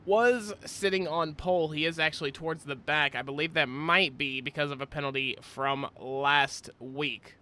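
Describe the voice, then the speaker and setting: Excitable young man calling a race. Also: moderate pace, hardly any pauses. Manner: excitable, rising pitch at close battles. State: stuffy nose from a cold. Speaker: race commentator. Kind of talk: sim racing play-by-play commentary.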